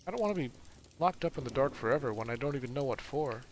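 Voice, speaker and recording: A man's voice: mid-range voice, expressive, fast, a little hiss or hum, voice pushed up, close to an everyday voice. A man voicing a frightened young farm boy who asks anxious, earnest questions. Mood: fearful.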